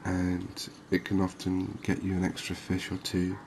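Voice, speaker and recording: Guy doing asmr fishing game commentary, really flat even voice, slow, bit of noise behind him. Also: deep voice, creaky. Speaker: gaming video host.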